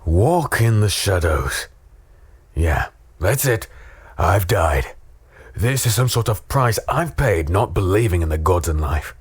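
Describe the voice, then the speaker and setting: A man playing a battered, weary human in a dark fantasy audio drama, wry and fatalistic as he tells his story. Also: sits low, big pitch swings, slow pace, breathy edge, no echo, exaggerated voice. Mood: despairing, pained.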